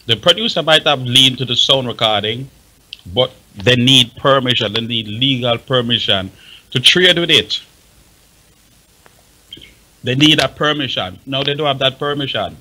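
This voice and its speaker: A man's voice: mid-range voice, normal speed, pauses often, bright, forceful tone, no echo, low-quality recording. An impassioned man with a Jamaican accent speaking emphatically and repeating himself in a heated radio discussion. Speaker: talk show panelist.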